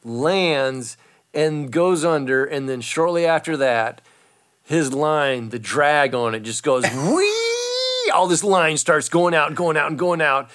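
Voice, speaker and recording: A man's voice: a little high, slow. A middle-aged man in casual chat, telling a long fishing story with lots of fillers and restarts. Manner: rambling, animated, many fillers.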